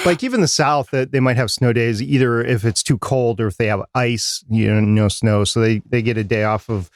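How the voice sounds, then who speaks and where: A man's voice: medium pitch, brisk, no room sound, studio quality. A middle-aged man co-hosting a podcast, talking casually and often restarting his sentences. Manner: rambling, self-correcting restarts. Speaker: podcast co-host. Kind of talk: casual chat.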